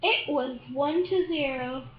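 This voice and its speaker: A woman reading a children's picture book aloud, haltingly, stumbling over and repeating words. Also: sits high, reverberant, muffled recording. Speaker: storybook reader.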